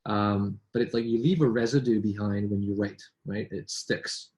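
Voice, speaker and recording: A man's voice: lowish voice, fairly even intonation, moderate pace, a little mellow. A man, born in New York and raised in Vancouver, talking casually as a business English coach, with frequent restarts and rhetorical questions. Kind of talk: casual conversation between colleagues.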